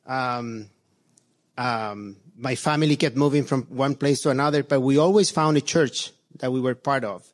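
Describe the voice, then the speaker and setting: A middle-aged church elder from Chile speaks to his congregation in an earnest, halting way. He stops often on fillers and starts his sentences over, and his voice sits at an ordinary pitch for a man. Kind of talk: church reflection talk.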